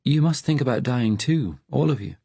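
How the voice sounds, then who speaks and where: A man's voice: medium pitch, expressive, moderate pace, gravelly, mellow, husky, no room sound, pitched above the performer's own voice. A man narrating an audiobook, playing a nervous, timid, fragile fugitive with a hesitant, earnest, questioning manner. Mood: anxious. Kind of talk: audiobook character dialogue.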